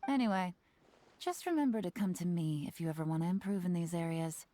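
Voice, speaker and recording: Mellow, teasing spymaster played by a woman. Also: on the low side, animated, a little fast, slight background noise, close to an everyday voice. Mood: confident, smooth. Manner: teasing, smooth, self-assured. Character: shrewd, playful female spymaster, teasing and confident. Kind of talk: scripted video game character dialogue. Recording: game music behind the voice.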